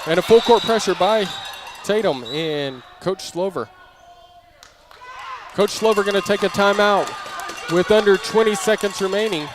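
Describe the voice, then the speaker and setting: A man's voice: high voice, measured pace, pauses often. A man giving live basketball play-by-play commentary from a noisy gym with a crowd. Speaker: sports play-by-play announcer. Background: crowd and bouncing basketball in gym.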